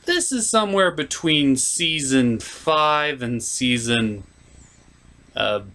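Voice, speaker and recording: A man's voice: medium pitch, lively intonation, slow, bright, forceful tone. A man giving a casual, opinionated cartoon review as an online host, blunt and a bit showy.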